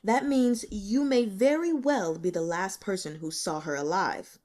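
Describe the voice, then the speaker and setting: A woman's voice: medium pitch, a little slow, darkish tone, natural voice. A woman voicing a blunt, stern male police investigator in an audiobook, pressing with pointed questions. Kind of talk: audiobook character dialogue.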